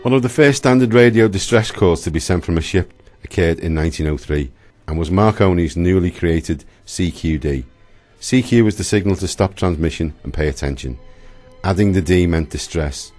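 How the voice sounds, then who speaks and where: A man's voice: deep voice, moderate pace, darkish tone, faint music behind. A man reading scripted historical narration for a radio play.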